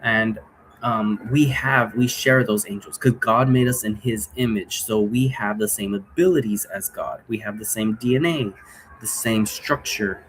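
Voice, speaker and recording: A man giving a casual, unscripted explanation as a teacher, rambling along and often checking understanding with a quick "right?". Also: lowish voice, a bit bright, slight background noise, rough recording. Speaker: teacher explaining occult role-play rules.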